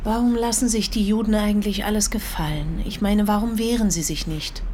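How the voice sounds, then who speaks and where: A woman's voice: medium pitch, fairly even intonation, a little fast, mellow, a little hiss or hum, lightly acted. An actress playing a sympathetic, naive and slightly nervous married woman traveller in a German radio play, polite and earnest.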